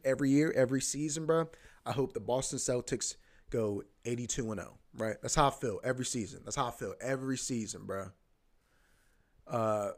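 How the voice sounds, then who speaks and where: A man hosting a podcast, rambling casually about sports, repeating himself, swearing and saying "bro" a lot. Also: medium pitch. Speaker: podcast host.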